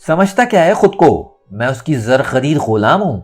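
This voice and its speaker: A man's voice: a little high, big pitch swings, normal speed, husky. A man voicing an angry, defiant young woman in a dramatic audiobook, with emphatic, indignant delivery. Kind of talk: dramatic audio novel reading. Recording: music under the voice.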